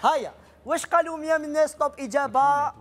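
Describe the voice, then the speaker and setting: A man's voice: very high-pitched, racing, fairly bright tone, faint music behind. A middle-aged man with an Algerian accent, hosting a TV game show on a big studio stage and teasing the contestants.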